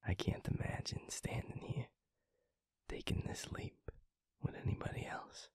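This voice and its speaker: A young man with an American accent playing an affectionate, teasing werewolf boyfriend in an intimate audio roleplay, in a hushed, breathy, husky voice. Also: no room sound, studio quality, natural voice. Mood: tender, grateful. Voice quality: breathy, husky, gravelly low whisper. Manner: slow, hushed, intimate, pausing. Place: close, dry studio recording. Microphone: close microphone.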